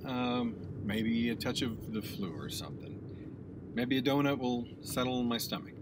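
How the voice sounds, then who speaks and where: An actor in a radio play voicing a cocky government agent who becomes increasingly rattled. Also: male voice, mid-range voice, expressive, moderate pace, background noise, close to an everyday voice. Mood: tired, evasive.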